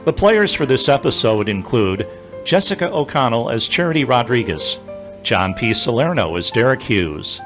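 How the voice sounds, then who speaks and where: Lively male narrator over music. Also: mid-range voice, normal speed. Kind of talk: scripted audio drama narration.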